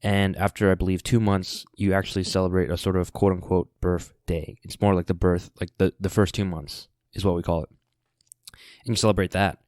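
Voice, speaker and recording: Low, flat-voiced man in his twenties. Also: a little fast, no echo, studio quality. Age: 20s. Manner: rambling, many fillers and restarts, laid-back. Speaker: podcast host. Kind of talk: casual solo podcast monologue.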